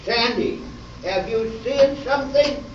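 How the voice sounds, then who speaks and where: Man playing slow, deadpan police sergeant, echoey room. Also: very high voice. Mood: businesslike. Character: male police sergeant, clipped, by-the-book detective parody. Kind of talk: amateur stage radio play, narrated police-drama parody. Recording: distant, echoey, muffled room with noise.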